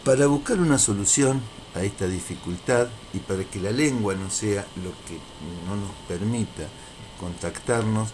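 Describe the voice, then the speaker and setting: A man with a fairly low voice presents a lecture. He speaks deliberately and didactically, returning to key phrases to stress them. There is faint noise behind him. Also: a little mellow, rough recording. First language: Spanish. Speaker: university lecturer.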